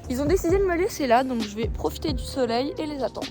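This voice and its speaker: Wry French woman, faint over loud music. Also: high-pitched, lively intonation, quick pace. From France. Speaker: camerawoman. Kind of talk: casual aside to camera.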